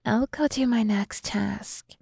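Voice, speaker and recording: A woman voicing a seductive, scheming demon woman in an ASMR roleplay, often dropping to a breathy whisper as she slowly coaxes and condescends. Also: a little high, mellow, no room sound, studio quality, exaggerated voice. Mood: softly menacing. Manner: slow, teasing, condescending toward humans. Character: seductive, scheming demon woman, coolly menacing yet coaxing. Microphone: close microphone, dry sound.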